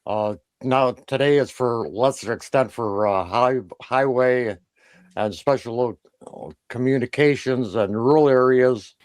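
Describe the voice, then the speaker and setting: Halting man, medium pitch, dry sound. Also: darkish tone, dry recording. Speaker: co-host of a ham radio show. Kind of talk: explanatory talk from prepared points.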